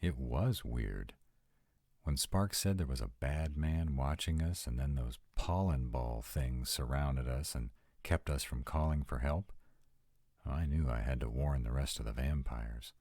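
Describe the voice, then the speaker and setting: A man acts out a young male vampire, sardonic but troubled, in an intimate audio roleplay. His voice sits extremely deep, husky and mellow. He confides in a casual, sweary, hesitant way. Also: a little slow, no room sound, clean studio sound, full character voice. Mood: uneasy, confiding.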